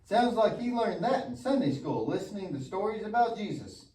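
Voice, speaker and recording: A man's voice: high-pitched, sing-song, normal speed, dark tone. A man playing a goofy, lazy, mischievous young boy puppet in a church show, with an exaggerated, wisecracking delivery. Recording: echoey room, distant mic.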